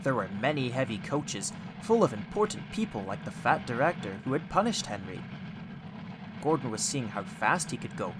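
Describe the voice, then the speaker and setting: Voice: medium pitch, big pitch swings, moderate pace, background noise. A storyteller reading a children's audiobook in a scripted narration style.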